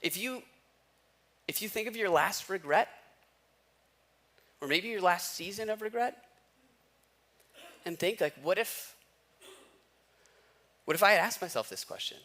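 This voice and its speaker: A man's voice: sits high, animated, measured pace, pauses often, sharp tone. An American man in his thirties preaching a conversational sermon, telling personal stories in everyday slang and posing rhetorical questions. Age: 30s. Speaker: pastor preaching.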